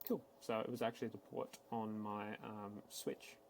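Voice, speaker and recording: A man giving a casual, matter-of-fact, step-by-step software walkthrough, speaking unscripted like a tech support instructor. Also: fairly low pitch, fairly even intonation, normal speed, vocal fry, a little hiss or hum. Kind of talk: screen-recorded software tutorial, unscripted walkthrough.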